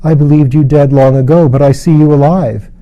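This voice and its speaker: A man's voice: on the high side, steady tone, fairly quick, muffled recording, voice pushed up, close to an everyday voice. A man voicing a weary, fearful, dying princess in a storybook, murmuring, moaning and pleading proudly.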